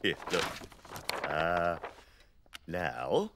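Casting: oversized chummy cartoon pal, dim and pushy, drawn-out delivery, hugely varied pitch, loud music behind. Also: male voice, sing-song, background noise, heavily acted. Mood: jovial, eager. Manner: chummy, repetitive, interjection-heavy. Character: huge, jovial, pushy, dim middle-aged cartoon man. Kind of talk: animated slapstick comedy acting.

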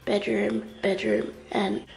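Young Aussie woman, congested, slow rambling vlog talk, gravelly. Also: mid-range voice, little pitch movement, a little mellow, a little hiss or hum, rough recording. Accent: Australian. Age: young adult. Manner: rambling, hesitant, many fillers. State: congested, blocked nose. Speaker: vlogger. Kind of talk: casual vlog chat to camera. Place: living room of a house, indoors. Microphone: handheld camera at arm's length.

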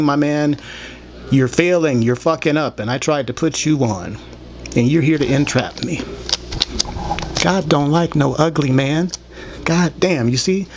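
A man in his thirties hosting his own show, delivering a rambling, blunt and aggrieved monologue with profanity into a desktop microphone in a small room. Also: fairly high pitch. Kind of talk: rambling opinionated monologue to camera. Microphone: desktop microphone, later held in hand. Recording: clear background noise, room ambience.

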